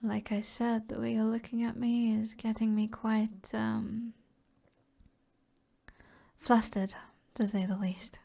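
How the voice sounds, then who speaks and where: A British woman playing an alluring, flirtatious sorceress in an ASMR roleplay, in a soft breathy voice that often drops toward a whisper, with a teasing manner. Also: fairly high pitch, little pitch movement, takes it slowly, pauses often, full character voice. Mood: intimate, flirty.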